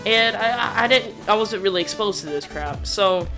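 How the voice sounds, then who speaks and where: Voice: medium pitch, normal speed, bright, forceful tone, faint music behind. A YouTuber telling a personal story in a casual, rambling, slangy way. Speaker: YouTuber narrating a personal story over gameplay.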